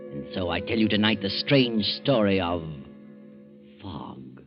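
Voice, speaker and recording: An actor playing an ominous, all-knowing mystery narrator in a theatrical, deliberate 1940s radio style. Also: male voice, low voice, big pitch swings, exaggerated voice. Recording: muffled 1940s radio, music behind.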